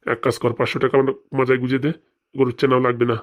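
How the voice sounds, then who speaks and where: Man playing a sing-song, sly village priest, flat-toned. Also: medium pitch, very fast, dull audio, lightly acted. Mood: wheedling, brisk. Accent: Bengali, rural dialect. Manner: sing-song mock-solemn chanting. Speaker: wedding priest. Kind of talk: comic cartoon voice acting with mock mantra chanting.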